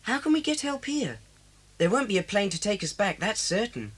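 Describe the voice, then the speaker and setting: Keen, wildly expressive schoolboy. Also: female voice, normal speed, husky. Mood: wary. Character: eager, curious schoolboy adventurer. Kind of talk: children's audio drama acting. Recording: noisy cassette, vehicle rumble.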